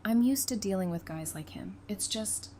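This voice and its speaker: A woman playing a wry, quick-witted teacher, speaking casually in an audio drama. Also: mid-range voice, animated, warm tone, natural voice. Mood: wry, teasing. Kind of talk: fan audio drama dialogue.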